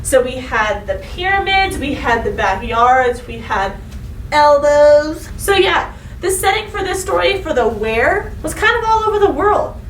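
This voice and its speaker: A woman with a high voice speaks as a teacher to young children. She is expressive and instructive, addressing listeners directly. The recording sounds roomy and distant, with faint noise. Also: unhurried. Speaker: elementary school teacher. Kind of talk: read-aloud storytelling and lesson for children.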